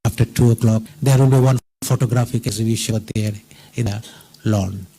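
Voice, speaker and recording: A man's voice: fairly low pitch, fairly even intonation, a little fast, gravelly, mellow, slightly rough. A man making an earnest, pleading appeal to a conference audience. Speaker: conference speaker. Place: conference hall.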